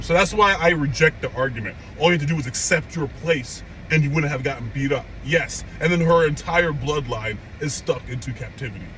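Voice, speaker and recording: High-voiced man ranting over traffic noise. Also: poor audio. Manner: animated, emphatic, incredulous outbursts; conversational, addresses viewers directly. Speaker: anime reaction host. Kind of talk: opinionated rant. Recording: steady traffic noise behind.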